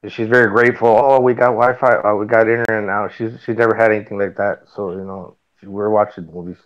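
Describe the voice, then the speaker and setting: A man's voice: lowish voice, level tone, fast talker, dull audio. A man in his forties from Tijuana, Mexico, telling personal stories in a casual, rambling way and often repeating himself. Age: 40s. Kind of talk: casual chat, personal storytelling.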